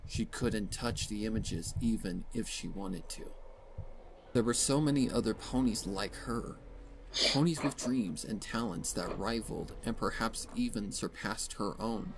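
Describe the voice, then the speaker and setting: Low-voiced male dramatic story narrator. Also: unhurried, gravelly, background noise. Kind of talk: dramatic scripted fan fiction narration.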